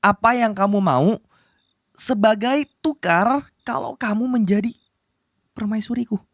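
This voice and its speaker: A woman's voice: mid-range voice, a little slow, no room sound, studio quality. A woman playing a possessive, lovestruck young male demon prince, bossy yet flustered, in a romantic roleplay spoken to the listener. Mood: bargaining, firm. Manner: insistent and flustered, pleading between commands. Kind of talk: romantic ASMR roleplay acting addressed to the listener.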